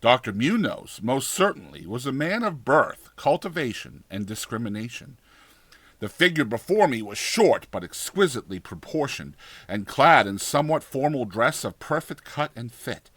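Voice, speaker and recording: A man reading a gothic horror story as a dramatized audiobook, with deliberate, theatrical delivery and formal, dramatic pauses. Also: medium pitch, very animated, a bit bright, dry recording. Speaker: audiobook narrator.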